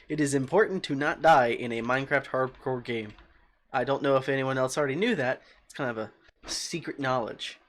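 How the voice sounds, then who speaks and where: A man with a calm voice streaming a video game, rambling as he thinks aloud and answers his chat. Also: mid-range voice, moderate pace. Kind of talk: casual livestream chat while playing.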